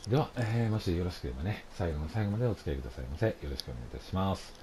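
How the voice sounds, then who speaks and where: Fast-talking low-voiced man, game commentary. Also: lively intonation, noisy background. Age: middle-aged. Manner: polite, filler-heavy, chatty. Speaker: video game streamer. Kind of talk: casual let's play commentary.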